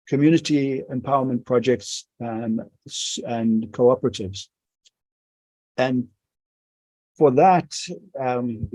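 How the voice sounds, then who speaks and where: A man from South Africa with a South African accent, leading an online workshop, speaking haltingly with many pauses and restarts. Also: mid-range voice, mellow, dry recording, low-quality recording. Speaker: school administrator leading a workshop. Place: home or office on a video call. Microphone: video call microphone.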